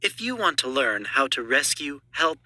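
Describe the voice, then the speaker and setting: A man's voice: mid-range voice, sing-song, normal speed, bright, forceful tone, dry recording. A man reading scripted promotional narration for an audiobook audition.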